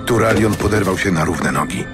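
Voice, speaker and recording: A man's voice: low voice, a little fast. A man narrating a fantasy audiobook in a scripted, dramatic storytelling style. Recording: narration over music.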